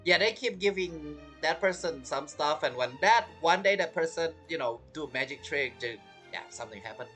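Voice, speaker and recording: Male game streamer, casual and rambling, bright timbre with very lively pitch, faint music behind. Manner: halting, rambling, self-interrupting. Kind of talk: casual gameplay commentary.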